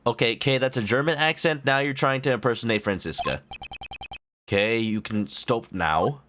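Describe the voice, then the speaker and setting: A man's voice: mid-range voice, normal speed, slight background noise. A man streaming a video game, chatting playfully and reading game text aloud. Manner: playful, often reads game text aloud and shouts catchphrases. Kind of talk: casual gaming commentary. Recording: game music behind the voice.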